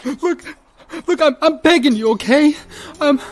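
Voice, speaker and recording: High-pitched, stammering rich young captive. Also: unhurried. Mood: terrified, begging. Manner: stammering, pleading, panicky. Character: frightened, pampered rich young man, pleading captive. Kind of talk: video game voice acting.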